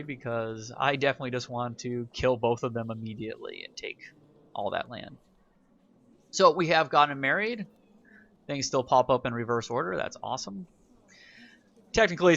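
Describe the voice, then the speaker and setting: A man's voice: medium pitch, bright, forceful tone, highs cut off. Wry man narrating a strategy game. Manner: casual, wry, thinking aloud. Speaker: gaming YouTuber. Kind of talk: let's play commentary.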